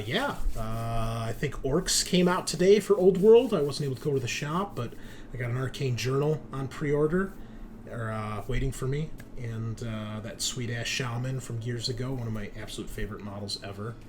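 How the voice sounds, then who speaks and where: A man's voice: medium pitch, moderate pace, noisy background. A man hosting a game stream in casual, informal chat, swearing freely. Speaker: host and game master.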